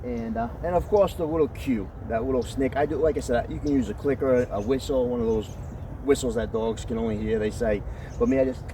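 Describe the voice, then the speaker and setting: Man, deep voice, casual dog-training talk, distant mic, street noise outdoors. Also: a little fast. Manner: conversational, often checks in with "okay?", jumps to a high praise voice.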